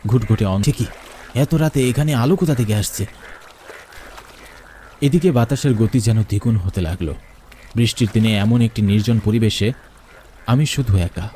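A man's voice: fairly low pitch, normal speed, long pauses, warm tone, a little hiss or hum. A man narrating a first-person horror story in a dramatic, suspenseful way.

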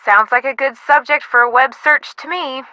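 A woman's voice: high voice, normal speed, pushed and bright, no room sound, low-quality recording. An actress playing an eager, helpful young friend of a girl detective, giving video game hints in a chirpy, encouraging way. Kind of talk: scripted video game hint dialogue.

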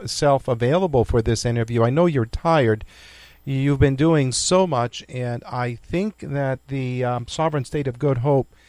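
A man hosting a radio interview who asks his questions in a formal, courteous and deliberate way. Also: medium pitch, darkish tone, dry recording. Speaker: radio show host.

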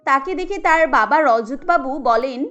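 A woman's voice: high-pitched, animated, a little slow, a bit bright, dull audio, faint music behind. A woman giving scripted narration for a family cartoon story.

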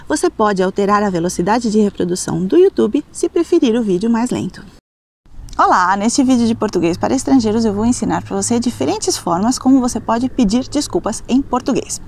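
Lively woman teaching on a seaside cliff. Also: fairly high pitch, slight background noise. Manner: clear, slow, careful teaching delivery with repeated example phrases. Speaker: language teacher. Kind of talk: beginner language lesson to camera. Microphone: phone camera at arm's length.

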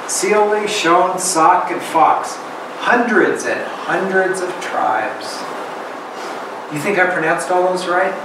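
A middle-aged man reads a picture book aloud at an unhurried, deliberate pace, lingering on each phrase and stopping to quiz the children. His voice sits a bit higher than most men's. It is picked up by a camera across an echoey, noisy classroom. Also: far from the mic. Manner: slow, expressive storybook reading with pauses for questions. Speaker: guest reader. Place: elementary school classroom. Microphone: far camera.

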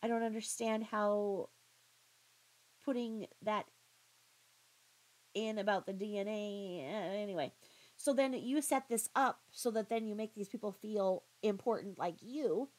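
A woman giving critical reaction commentary in a pointed, halting, questioning manner. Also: mid-range voice, a little mellow, low-quality recording. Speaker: commentary vlogger.

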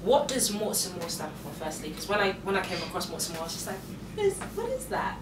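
A woman's voice: lowish voice, wildly swooping pitch, fast talker. A woman host asking questions in a chatty manner, with frequent "okay"s and repeated starts. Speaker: interviewer, host. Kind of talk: casual interview. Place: indoor wood-panelled lounge, seated on a sofa. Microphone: lapel mic. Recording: roomy, distant mic, noisy.

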